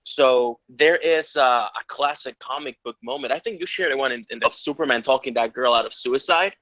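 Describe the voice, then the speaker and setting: A man's voice: medium pitch, moderate pace, bright, forceful tone, no echo. Earnest man talking about comics. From Ecuador. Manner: earnest, rambling, lots of restarts. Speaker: interviewee. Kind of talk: casual interview chat. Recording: dull lo-fi sound.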